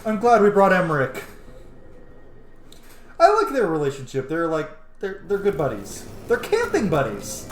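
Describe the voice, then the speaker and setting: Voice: mid-range voice, huge pitch swings, moderate pace, a little hiss or hum. A streamer giving casual, chatty, reactive commentary on a video game, with muttered asides. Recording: roomy echo, game music behind.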